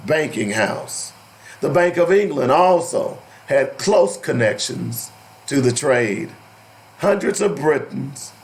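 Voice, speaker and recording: A man hosting an opinionated radio talk show, deliberate and emphatic, with a strained, cracking voice that is giving out. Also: mid-range voice, rough recording. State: losing his voice. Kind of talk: opinionated radio talk, partly reading aloud.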